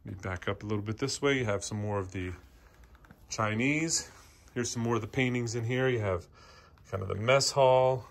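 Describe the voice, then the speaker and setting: A man gives an unscripted walkthrough as a presenter, pointing things out in short, halting phrases as he moves around indoors. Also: medium pitch, creaky. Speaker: auction house presenter. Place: indoor antiques showroom, walking around.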